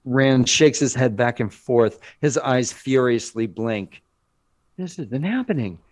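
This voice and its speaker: A man narrating a screenplay aloud in a dramatic, deliberate scripted style. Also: mid-range voice, lively intonation, darkish tone.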